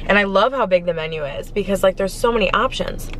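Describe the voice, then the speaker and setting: A woman's voice: medium pitch, quick pace, a bit bright, low-quality recording. A woman in her twenties with an American accent, chatting casually and gushingly to camera as she eats in a parked car. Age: 20s. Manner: informal, gushing, talking between bites. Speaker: food vlogger. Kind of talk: casual chatty eating vlog. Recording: faint car and street noise.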